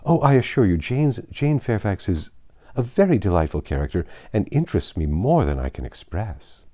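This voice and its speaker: A man's voice: on the low side, very animated, normal speed, dark tone. A man voicing a pompous, gushing married society woman in a storybook reading, effusive, condescending and emphatic. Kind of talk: audiobook character reading.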